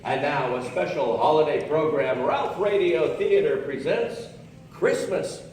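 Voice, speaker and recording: Echoing, grand 1940s radio announcer, played by an actor. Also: male voice, fairly high pitch, measured pace, natural voice. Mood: grand, welcoming. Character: showy, stately 1940s wartime radio show host. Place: on stage in an opera house, live. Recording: very echoey hall, distant, music and audience.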